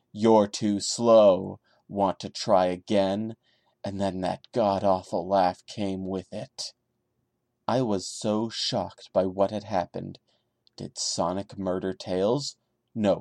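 A man with a fairly low voice reads a creepy story aloud in a theatrical style. He slips into sarcastic, disbelieving commentary as a podcast host would. The recording is close and dry, with little sense of a room. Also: gravelly, darkish tone, dry recording. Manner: theatrical narration broken by incredulous, sarcastic asides. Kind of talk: dramatic reading with mocking commentary.

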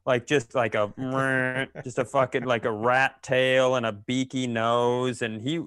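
A man's voice: medium pitch, level tone, takes it slowly, fairly bright tone, clear, resonant tone, dry recording, studio quality. A man co-hosting a comedy podcast, riffing through casual stories with exaggeration and plenty of swearing. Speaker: podcast co-host. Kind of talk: casual comedic banter and storytelling.

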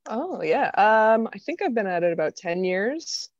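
A woman's voice: mid-range voice, very animated, normal speed, no echo. An American woman from Vermont, a comedian guesting on a podcast, chatting casually in a wry, informal way. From Vermont, United States. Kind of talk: casual chat. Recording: slightly dull, lo-fi microphone sound.

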